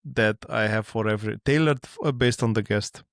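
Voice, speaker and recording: Halting Eastern European-born male podcast host. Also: fairly low pitch, dry recording, clean studio sound. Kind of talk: casual interview chat.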